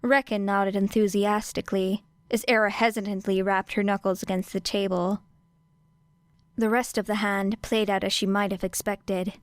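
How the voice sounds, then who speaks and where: A woman narrates fiction in a medium-pitched voice with a smooth, clean tone. She reads from a script as an audiobook narrator, close to the mic in a dry space. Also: level tone, moderate pace, dry recording. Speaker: audiobook reader.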